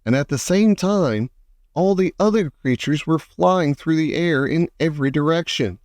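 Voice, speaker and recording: A man reading a children's storybook aloud as an expressive narrator. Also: on the high side, normal speed, no room sound, clean studio sound. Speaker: audiobook reader.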